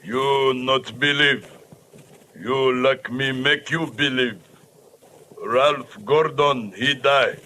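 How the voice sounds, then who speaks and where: Menacing, very slow voodoo priest. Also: male voice, medium pitch, sharp tone. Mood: menacing threat. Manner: slow, ominous, incantatory. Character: menacing male voodoo priest, broken speech. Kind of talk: old-time radio drama acting. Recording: old radio recording, faint noise.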